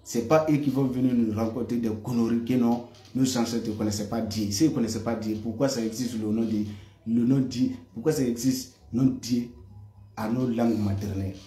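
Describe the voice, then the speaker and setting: A man's voice: mid-range voice, fairly even intonation, a little fast, dark tone. Heated man ranting in an echoey room. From West Africa. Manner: heated, repetitive, stammers on repeated words. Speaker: online commentator. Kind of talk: impassioned monologue to viewers.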